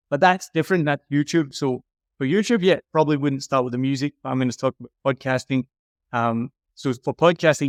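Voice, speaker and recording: A man's voice: on the high side, dry recording, clean studio sound. A man giving frank, emphatic advice in a conversational critique, like an audio consultant reviewing someone's work.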